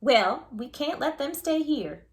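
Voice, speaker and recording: A woman's voice: sits high, expressive, slow pace, voice pushed up, exaggerated voice. A woman voicing an exasperated, grumbling woman from a storybook who frets dramatically over her troubles. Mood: brisk, resigned.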